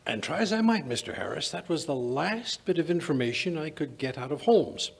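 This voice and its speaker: An older man playing a puzzled, blustering English gentleman doctor in an old-time radio drama, narrating and sometimes stammering. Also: on the high side, big pitch swings, fairly quick, vocal fry. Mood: brooding narration. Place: small indoor stage. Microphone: stand microphone.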